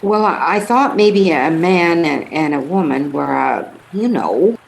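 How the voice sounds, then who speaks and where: A woman playing a timid, flustered passenger in a radio play, speaking haltingly. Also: sits low, animated, gravelly, a little hiss or hum, exaggerated voice, rough recording. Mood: flustered, hesitant. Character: timid, hesitant female boat passenger.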